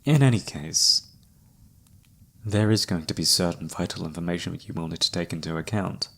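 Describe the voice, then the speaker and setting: Man acting a low, soft, mysterious fortune-teller. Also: normal speed, vocal fry, heavily acted. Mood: grave, gentle warning. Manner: soft-spoken, formal, courtly. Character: mysterious flirty palm reader, a wandering male fortune-teller. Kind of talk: ASMR roleplay.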